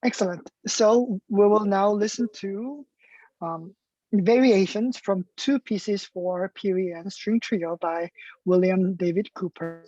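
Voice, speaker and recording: A woman's voice: medium pitch, slow, warm tone, no echo, dull audio. A woman hosting a music symposium, asking questions in an enthusiastic, articulate way with some fillers. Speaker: symposium host, interviewer. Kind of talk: moderating an online talk.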